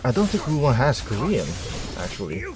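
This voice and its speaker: A man's voice: medium pitch, sing-song, brisk, music in the background. A man playing a video game and commentating casually, rambling and thinking aloud in a self-deprecating way. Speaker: streamer, game player.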